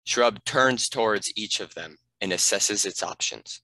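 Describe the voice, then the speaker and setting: Male narrator for screenplay directions: lowish voice, clear forward edge, scripted delivery, dry recording. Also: unhurried, bright, forceful tone, dry recording, rough recording. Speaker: narrator reading stage directions.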